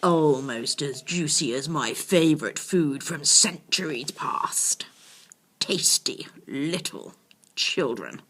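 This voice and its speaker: A woman's voice: low-pitched, lively intonation, takes it slowly, a bit bright, slightly rough, exaggerated voice. A woman voicing an ancient, self-pitying male dragon from a storybook, quavering and plaintive, with grand old-fashioned speech. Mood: sly, hungry. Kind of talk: storybook character reading.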